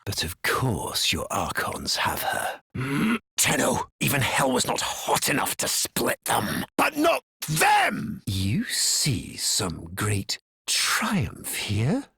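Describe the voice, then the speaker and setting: A very slow, theatrical, imperious villain. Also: male voice, medium pitch, wildly swooping pitch, gravelly, roomy sound, heavily acted. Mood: bitter, menacing, then contemptuous. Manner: grandiose, deliberate, theatrical, swinging between hush and outburst. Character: contemptuous, theatrical male villain, imperious would-be conqueror. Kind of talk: dramatic scripted game villain monologue.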